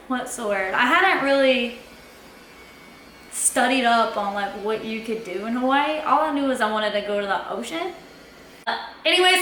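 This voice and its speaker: Bright, chatty woman in an echoey room. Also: high-pitched. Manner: chatty, animated, lots of "like", acts out her own past lines. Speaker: vlogger telling a personal story. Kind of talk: casual storytime. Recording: echoey room with faint background noise.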